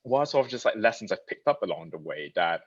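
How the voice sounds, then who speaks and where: A man's voice: lowish voice, big pitch swings, fast, slightly rough, dry recording, muffled recording. A young man from Malaysia, founder of a design studio, giving a loose, chatty talk with lots of fillers and restarts. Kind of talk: casual presentation talk.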